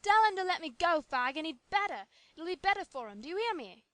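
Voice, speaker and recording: A woman reading an audiobook, voicing a distressed young woman who pleads in a higher voice. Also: very animated, brisk, fairly bright tone, dry recording, heavily acted. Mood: desperate. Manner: pleading, desperate.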